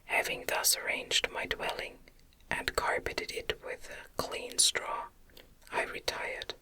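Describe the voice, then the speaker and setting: A woman reads classic gothic fiction as ASMR, entirely in a whisper. The sound is very bright and sibilant, and she moves through each phrase slowly and gently, with deliberate pauses. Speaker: audiobook narrator, ASMR creator.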